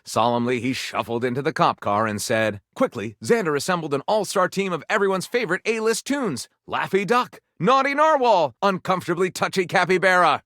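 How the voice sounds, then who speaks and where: Theatrical male storyteller, wildly swooping pitch. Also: animated, a little fast, few pauses, a bit bright, dry recording, studio quality. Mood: melodramatic, lustful. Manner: theatrical, breathless, overwrought delivery. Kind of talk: dramatic storybook narration.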